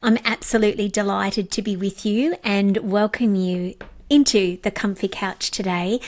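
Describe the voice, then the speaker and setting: A woman hosting a solo podcast on coaching, slightly raspy and blocked up from a cold, speaking with effusive praise and casual fillers. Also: mid-range voice. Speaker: podcast host and coaching trainer.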